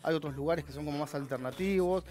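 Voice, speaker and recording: A man's voice: on the high side, fast talker, darkish tone. A man in his thirties from La Plata with an Argentine Spanish accent, a chef explaining his recipe with hesitant restarts in a TV studio kitchen. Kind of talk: cooking demonstration chat.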